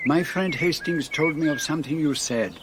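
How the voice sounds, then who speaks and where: An actor in a radio drama playing a courteous, shrewd Belgian detective with a French-speaking Belgian accent and a precise, theatrical manner. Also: male voice, fairly high pitch, normal speed, background noise, close to an everyday voice. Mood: earnest, persuasive.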